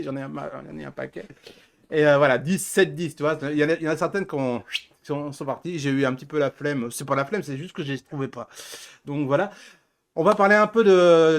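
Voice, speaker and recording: A middle-aged man hosting a show in casual, chatty talk. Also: on the high side. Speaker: show host. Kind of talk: casual live show chat.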